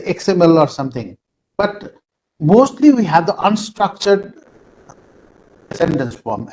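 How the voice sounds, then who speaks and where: A man with an Indian English accent, a native Nepali speaker, giving an online lecture in a halting way with many fillers, over a video call. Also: fairly high pitch, darkish tone, slight background noise, low-quality recording.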